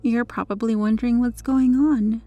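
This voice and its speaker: Woman acting a mellow, controlled superheroine with dark secrets. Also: fairly high pitch, fairly even intonation, normal speed, no echo, faint music behind, lightly acted. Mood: smug, possessive, teasing. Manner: smug, flirtatious, controlled. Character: possessive, teasing female superhero hiding a dark obsession. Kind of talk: ASMR roleplay acting.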